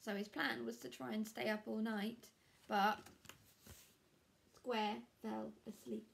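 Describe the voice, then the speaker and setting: Young female primary teacher for picture-book read-aloud: high-pitched, unhurried, explaining to small children. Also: level tone, gravelly, a little hiss or hum. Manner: explains words and asks questions. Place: small furnished room at home, indoors.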